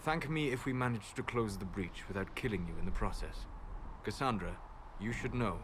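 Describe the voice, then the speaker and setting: An actor playing a calm, courteous magic scholar in a video game, speaking in a formal, grave manner. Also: male voice, fairly low pitch, moderate pace, natural voice. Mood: grave, measured. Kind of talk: video game cutscene acting. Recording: clear noise behind the voice.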